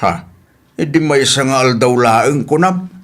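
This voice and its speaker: A man's voice: mid-range voice, slow pace, fairly bright tone. Radio comedy drama: man plays protective elder brother, middle register, questioning, indignant, plain delivery. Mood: indignant, probing. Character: protective, indignant older brother of a young woman.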